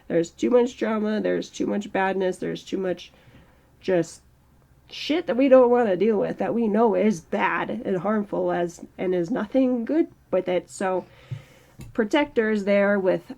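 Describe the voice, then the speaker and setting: A woman in her early thirties talking slowly and reflectively in a personal vlog, with a strained throat. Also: medium pitch, vocal fry, mellow. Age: early 30s. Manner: slow, halting, reflective. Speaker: channel host. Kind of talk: personal mental health vlog monologue.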